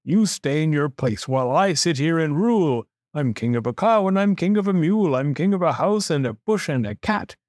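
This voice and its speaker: A man's voice: a little high, animated, normal speed, hardly any pauses, a little mellow, dry recording, studio quality, lightly acted. Man putting on a pompous bellowing turtle king. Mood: commanding. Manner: bellowing, self-important, imperious. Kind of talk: storybook character voice.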